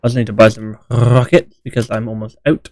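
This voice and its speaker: A young man with a British accent, talking casually over a game stream. He teases his friends in a playful, easygoing way and laughs a lot. His voice sits at a middle pitch. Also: little pitch movement, brisk, darkish tone, no echo. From Britain. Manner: playful, teasing, laughs often. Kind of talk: casual gaming chat.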